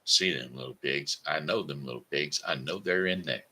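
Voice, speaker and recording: A man's voice: extremely low pitch, moderate pace, pushed and bright, slight background noise, pitched below the performer's own voice, full character voice. A man voicing a hungry, sly big bad wolf in a storybook tale with a drawling, coaxing delivery. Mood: scheming.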